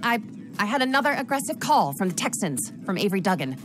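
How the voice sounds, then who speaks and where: A woman as a brisk, animated casino boss. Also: mid-range voice, natural voice. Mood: tense, grim. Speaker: casino general manager. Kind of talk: video game cutscene acting. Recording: music bed behind the voice.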